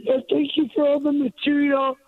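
A woman calls in to a radio show over a tinny phone line. Her voice is high and raspy. She rambles, searching for the right words as she goes. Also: monotone, moderate pace. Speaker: caller.

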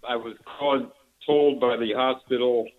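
A man's voice: medium pitch, steady tone, moderate pace. A man calling in to a radio show, telling a personal experience in an earnest, hesitant way. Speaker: caller. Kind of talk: phone-in caller telling a story. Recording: tinny phone line.

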